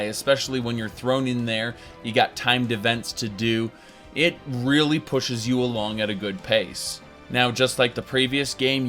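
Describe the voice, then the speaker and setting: A man talking through a retro game review in a chatty, enthusiastic way. His voice sits in an ordinary middle range. Faint music runs softly under his talk. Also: medium pitch. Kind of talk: casual video review.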